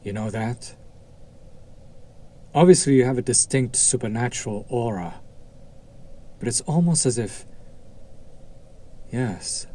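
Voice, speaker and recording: A man playing a smug, seductive demon in a roleplay audio drama, coaxing and condescending with deliberate pauses and a teasing menace. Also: fairly low pitch, darkish tone, a little hiss or hum. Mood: scornful, intrigued.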